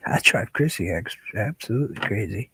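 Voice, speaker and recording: Male painting-stream host, gravelly and a little husky, relaxed chatty delivery with soft whispered asides. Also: on the low side, brisk. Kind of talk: casual chat while painting.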